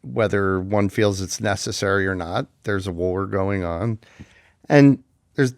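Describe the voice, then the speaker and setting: A man's voice: sits low, measured pace, no echo, clean studio sound. A man hosting an interview, asking questions in an easygoing, affirming manner. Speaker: interview host. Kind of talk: conversational interview.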